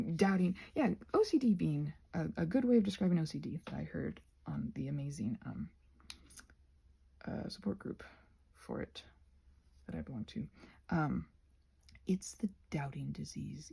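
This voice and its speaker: Woman, husky and breathy, soft mellow tone, casual crafting ramble, hesitant, self-deprecating, drifts into near-whispers. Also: deep voice. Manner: self-deprecating, hesitant, trailing off mid-thought. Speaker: ASMR creator rambling while crafting. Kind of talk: casual rambling chat to viewers.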